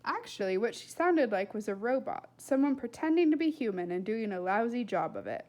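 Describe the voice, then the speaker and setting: A woman reading a storybook aloud as narrator in an expressive style. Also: on the high side, a little fast. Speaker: storybook reader.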